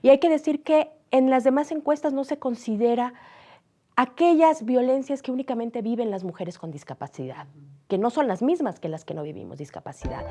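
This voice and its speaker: A woman speaking as a guest expert in a studio interview, measured and steady, citing figures with frequent hesitations. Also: fairly high pitch.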